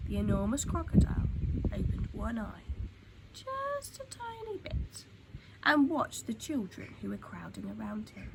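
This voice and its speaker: Animated, high-voiced woman reading a storybook. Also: a little slow. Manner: animated, dramatic, hushes for suspense. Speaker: storytime reader. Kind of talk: expressive children's storybook narration. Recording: clear background noise.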